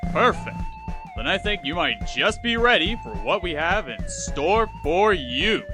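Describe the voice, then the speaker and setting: Theatrical monster tv host for a spooky dating game, super animated showman patter, music behind. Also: high-pitched, measured pace. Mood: playfully sinister. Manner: showy, grandiose game-show patter. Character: theatrical pumpkin-headed male monster dating show host. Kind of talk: visual novel voice acting.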